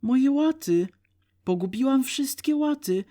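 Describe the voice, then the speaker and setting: A wistful young cow from a children's storybook, played by a man. The voice is pitched high and plaintive, exclaiming over her troubles like a sensitive girl. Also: expressive, slow, mellow, no room sound, clean studio sound, exaggerated voice. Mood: distressed wailing.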